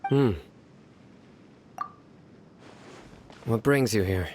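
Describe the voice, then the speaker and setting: A man playing a stern, reserved young law-keeper in a video game, calm and matter-of-fact. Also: lowish voice, moderate pace, background noise, lightly acted. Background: music.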